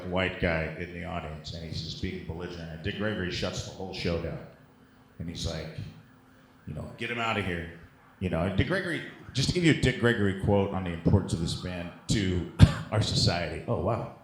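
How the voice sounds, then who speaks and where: A man's voice: low voice, a little fast, echoey room, slight background noise, poor audio. A man in casual comic chat, telling stories in a rambling, joking, profane way. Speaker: comedian guest on a podcast.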